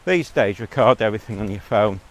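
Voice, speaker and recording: A man's voice: fairly low pitch, animated, a little fast. A man giving a blunt, rambling monologue as a vlogger, talking while out on a walk.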